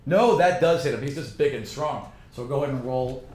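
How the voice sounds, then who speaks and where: A man running a tabletop game as game master, improvising informal, animated narration with spoken sound effects. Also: mid-range voice, brisk. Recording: roomy echo, faint background noise.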